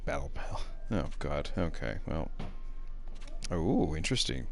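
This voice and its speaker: A man's voice: extremely low pitch, sing-song, a little slow, vocal fry. A man giving casual, grumbling and sarcastic commentary while playing a video game with a friend. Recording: game music behind the voice. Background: video game music.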